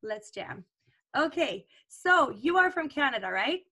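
A woman's voice: high-pitched, big pitch swings, measured pace, bright, forceful tone. A woman hosting a podcast, chatting casually with fillers as she introduces and interviews a guest over a remote call. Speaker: podcast host.

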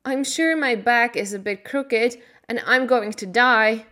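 A woman's voice: fairly high pitch, unhurried, bright, forceful tone, voice pushed up. A woman voicing a sickly, self-pitying young boy in a storybook, with a whining, fretful manner. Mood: tearful self-pity. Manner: whining, tearful, indignant.

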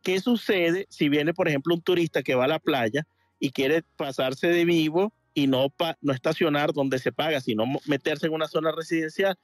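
Un hombre de Venezuela que llama a un programa en directo y explica algo con muchas muletillas y frases que vuelve a empezar. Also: male voice, on the high side, normal speed, no room sound. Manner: rambling, many fillers and restarts. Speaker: caller. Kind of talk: casual call-in chat. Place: home in Miami Beach.

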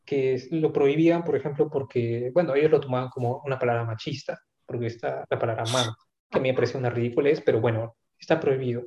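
A man's voice: mid-range voice, fast talker, a little mellow, a little hiss or hum. A man talking in a casual conversation, thoughtful and hesitant, with many asides and restarts. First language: not English. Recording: echoey room, dull lo-fi sound.

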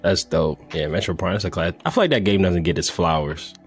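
Male podcast host, low voice, slangy casual chat, agreeable and laid-back, music present. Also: fairly quick.

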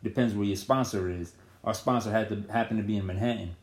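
A man's voice: fairly low pitch, fast talker. A man, chatting casually and telling stories in street slang. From New York. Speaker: podcast guest. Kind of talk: casual chat. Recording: echoey room.

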